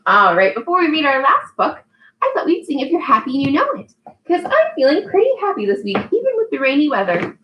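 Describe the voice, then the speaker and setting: A woman teacher leading a storytime for young children in an animated, emphatic manner, reading aloud to them. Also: high voice, fairly quick, muffled recording, slight background noise. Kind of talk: children's read-aloud and singalong. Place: indoors at home. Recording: echoey room.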